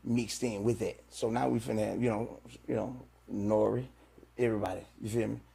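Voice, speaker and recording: A man's voice: lowish voice, steady tone, fairly quick, vocal fry, mellow, husky. A man from Louisiana, a rapper chatting casually on a podcast and tagging "you feel me" onto nearly every line. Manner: laid-back, repeats "you feel me" constantly. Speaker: rapper guest.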